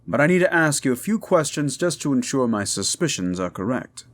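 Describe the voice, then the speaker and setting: Man playing a confident, animated folklorist ghost hunter. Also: medium pitch, a little fast. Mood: grave, explaining. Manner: explanatory, assured. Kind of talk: audio drama acting.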